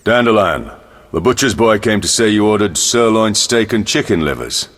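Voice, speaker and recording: Casting: gruff game jail captain, commanding and curt, low voice in a reverberant space. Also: male voice, lively intonation, normal speed, fairly bright tone. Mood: brusque. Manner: brusque, commanding. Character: gruff, no-nonsense, corrupt male jail captain. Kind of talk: video game voice acting.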